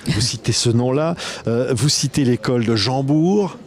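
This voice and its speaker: A man's voice: mid-range voice, normal speed, darkish tone. A man hosting a TV interview, conversational, with fillers as he quotes his guests back to them. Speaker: TV interviewer, host.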